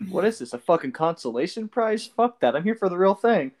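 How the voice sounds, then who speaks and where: A man's voice: high voice, very animated, brisk, darkish tone. A man co-hosting a read-along show, joking sarcastically and reading lines aloud theatrically. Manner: jokey, sarcastic. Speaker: co-host of a group read-along commentary show.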